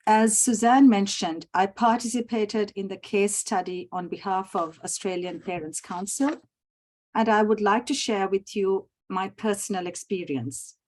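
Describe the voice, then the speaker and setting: Measured Sri woman presenting. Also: mid-range voice, a little mellow. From Sri Lanka. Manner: measured, formal, clears throat often. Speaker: summit presenter. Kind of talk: prepared formal presentation. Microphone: video call.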